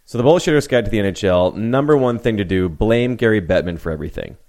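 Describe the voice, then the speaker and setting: A man's voice: lowish voice, lively intonation, fast, darkish tone. A man co-hosting a casual sports podcast, joking and rambling with a self-mocking streak. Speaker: podcast co-host.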